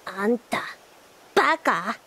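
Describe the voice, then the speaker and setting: An actress playing a cheeky, sharp-tongued young girl, pert and girlish, in an anime audio drama. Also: on the high side, animated, takes it slowly, slightly rough, heavily acted. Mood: indignant.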